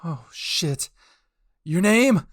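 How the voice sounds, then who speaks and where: Man acting a frantic young male soldier, pitched up. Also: lively intonation, very slow, full character voice. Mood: horrified. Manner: frantic, urgent.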